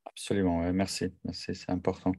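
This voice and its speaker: A host whose first language is German speaks in a fairly low voice with a dry, close sound. He chats easily with his guest, adds short acknowledgements and steers the conversation. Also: monotone, a little fast, darkish tone, no room sound. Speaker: podcast host. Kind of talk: conversational interview.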